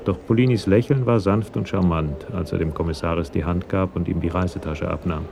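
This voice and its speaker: A man's voice: low voice, fairly quick, creaky, background noise. A man narrating a crime radio drama from a script in a storytelling role.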